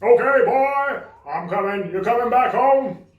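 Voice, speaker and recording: A man's voice: very high voice, measured pace, highs cut off. A man voicing a big, greedy, doting dragon dad in an improvised puppet show, hammy and relishing his words. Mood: fatherly. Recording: roomy, echoing, distant from the mic.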